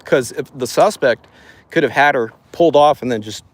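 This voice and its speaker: A man's voice: mid-range voice, normal speed. A man streaming live from outdoors by a river, chatting in a laid-back, reassuring way. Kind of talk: casual street chat. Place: outdoors by a river walk path.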